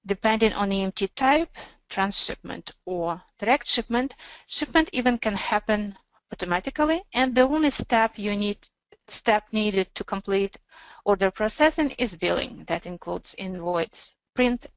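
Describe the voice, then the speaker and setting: A woman narrating an instructional software demo as a webinar presenter, explaining in a measured, step-by-step way. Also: medium pitch, expressive, no room sound, dull audio.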